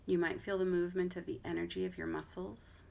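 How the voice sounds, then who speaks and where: Female meditation guide, gentle and unhurried, fairly flat intonation with gravelly fry, muffled lo-fi recording. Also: fairly low pitch. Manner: gentle, unhurried instruction with long pauses. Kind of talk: guided body scan meditation. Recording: dull lo-fi audio with clear background noise.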